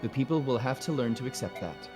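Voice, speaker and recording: A man voicing a young queen in game dialogue, gentle yet resolute, speaking formally and earnestly. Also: medium pitch, expressive, quick pace, faint music behind, close to an everyday voice. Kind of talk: game dialogue read aloud in a fan dub.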